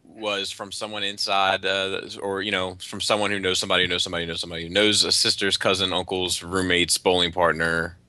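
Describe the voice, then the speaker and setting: A man's voice: sits low, level tone, quick pace, hardly any pauses, sharp tone, dry recording. A man hosting a casual panel podcast, chatting about hobby news with some swearing. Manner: profane. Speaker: podcast host. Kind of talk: casual chat, panel discussion of toy news.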